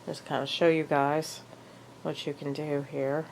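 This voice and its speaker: A woman's voice: deep voice, little pitch movement, unhurried, vocal fry, a little mellow, a little hiss or hum. A woman with a cold, sniffly and hesitant, talking casually in a show-and-tell vlog with lots of ums and uhs. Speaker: vlogger.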